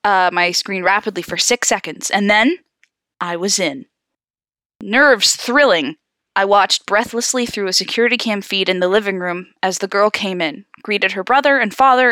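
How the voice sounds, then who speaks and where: Animated, bright-voiced woman reading aloud. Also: medium pitch, no room sound. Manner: slangy, sarcastic, expressive asides. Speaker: podcast host reading horror stories aloud. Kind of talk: story read-aloud mixed with casual banter.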